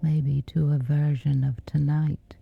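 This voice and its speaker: A woman with a whispery voice, slowly and gently guiding a sleep meditation. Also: sits low, little pitch movement, gravelly, narrow, tinny audio, faint music behind. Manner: slow, soothing, unhurried. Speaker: meditation guide.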